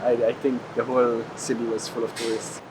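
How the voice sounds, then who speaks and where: A man's voice: medium pitch, completely flat delivery, fairly quick. Man chatting over noticeable background noise. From Hungary. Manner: frequent "like" filler, rambling. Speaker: traveler being interviewed. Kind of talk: casual chat. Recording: clear ambient street noise.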